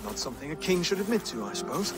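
An actor playing a gentle, earnest young Saxon thane in a video game, with an English accent and a courteous, measured manner. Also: male voice, high voice, sing-song, over music. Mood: mild, composed. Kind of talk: video game cutscene acting.

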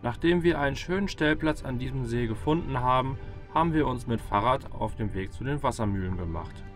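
A man's voice: medium pitch, fairly quick, music in the background. A man giving a scripted voice-over narration as a travel vlogger.

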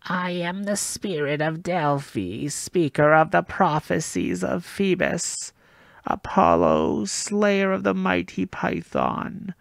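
A man voicing an ancient female oracle spirit, raspy and slithering, in an audiobook. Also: high-pitched, very animated, slow, no room sound, voice pushed up, full character voice. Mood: ominous.

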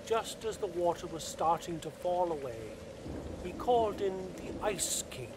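A man narrating a scripted radio play as a deliberate, dramatic storyteller. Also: sits high, noisy background.

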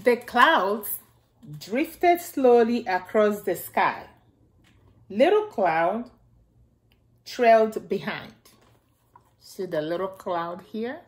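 A middle-aged woman hosting a storytime, reading aloud to small children in simple, deliberate phrases and repeating key words. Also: mid-range voice, lively intonation. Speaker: storytime host reading a picture book to young children. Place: indoors in a home, beside a staircase.

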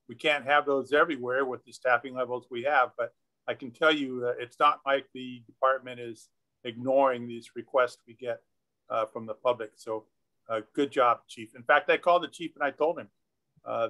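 A man serving as city councilmember, making deliberate comments and pausing often with uh. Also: mid-range voice, pushed and bright, poor audio.

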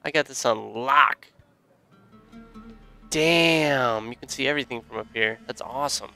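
Bright-voiced young man, joking as he plays. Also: mid-range voice, a little slow, vocal fry, clear, resonant tone, faint music behind. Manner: excitable, jokey, swears freely. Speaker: let's play gamer. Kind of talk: casual gameplay commentary.

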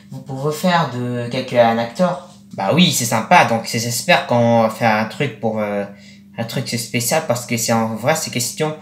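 A young man in his late teens speaking casually for a vlog, in a rambling, repetitive and halting way. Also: mid-range voice, slight background noise. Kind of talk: casual vlog with acted-out conversations. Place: small attic bedroom, indoors. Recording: roomy, echoey webcam-style recording.

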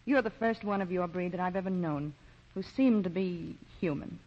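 A woman acts out a hard-shelled lady crook in a 1940s radio play. She talks in curt, world-weary lines with softness underneath, and the recording is dull and muffled. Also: on the low side, normal speed, close to an everyday voice. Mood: guarded, wry. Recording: muffled 1940 radio broadcast.

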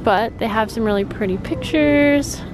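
A woman's voice: fairly high pitch, moderate pace, over music. A woman vlogging casually as she shops, talking informally and in a friendly way to her viewers. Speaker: vlogger, host. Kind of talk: casual chatty shop-along and haul commentary. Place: large discount store, indoors. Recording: noisy store ambience.

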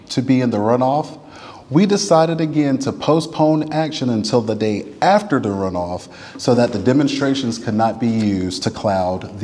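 A man reading a written text aloud in a formal, oratorical style, with measured, deliberate and emphatic phrasing. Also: medium pitch, mellow. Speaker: reader at a church event. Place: large church interior. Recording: reverberant large hall.

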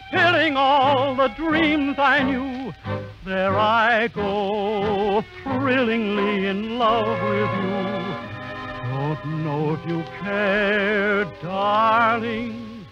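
A man sings a slow ballad in a very high, light tenor, drawing each phrase out. A full orchestra plays loudly behind him. The sound is dull and muffled, like an old radio broadcast. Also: over loud music. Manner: high tenor crooning, naive. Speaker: singer and comedy sidekick.

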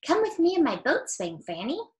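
An excitable little man from a storybook tree, as a woman reader puts him on. His voice sits high and leaps around with eager urgency. Short bright calls to the others. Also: moderate pace, slight background noise. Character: excitable male magic-tree dweller Moonface. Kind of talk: read-aloud storybook character voice.

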